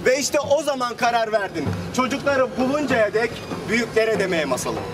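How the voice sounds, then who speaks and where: A man telling a fairy tale as street theatre, in a declamatory way with dramatic pauses and repetitions. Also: extremely high pitch, expressive, noisy background. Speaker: storyteller, street theatre performer. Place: outdoors on a city street. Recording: distant outdoor street recording under loud music.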